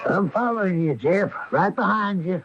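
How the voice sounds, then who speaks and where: Higher-voiced, wary folksy radio-drama man. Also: expressive, normal speed, loud music behind the voice. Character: wary, plain-spoken male companion, eager to get away. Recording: muffled 1980 radio broadcast, light hiss.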